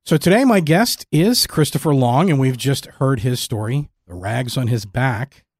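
A man's voice: mid-range voice, animated, moderate pace, no room sound. A man hosting a podcast interview in a conversational style.

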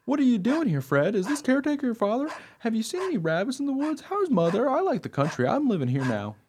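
A man's voice: very high voice, big pitch swings, fast, a little mellow, slight background noise. An actor playing an eager, trusting young dog who tells his own story in a radio play with innocent earnestness. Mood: eager, excited. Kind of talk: first-person radio play narration.

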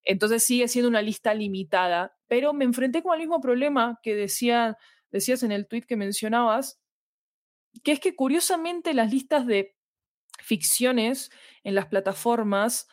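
A woman's voice: a little high, expressive, moderate pace, dry recording. Woman with a close, dry sound. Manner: thoughtful, hedging, self-qualifying. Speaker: podcast guest, fiction editorial manager. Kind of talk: casual interview conversation.

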